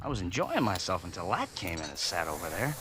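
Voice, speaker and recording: An actor playing a brash, talkative diner customer in old radio drama style, blunt, folksy and full of exclamations. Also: male voice, low voice, big pitch swings, quick pace. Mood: disgusted. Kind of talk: 1950s radio drama acting. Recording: noisy, hissy old radio recording.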